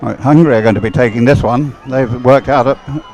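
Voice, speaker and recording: A man's voice: mid-range voice, fairly quick, a little mellow, some chatter behind, rough recording. A man calling a live race in short, informal phrases from an outdoor sporting venue. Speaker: race commentator.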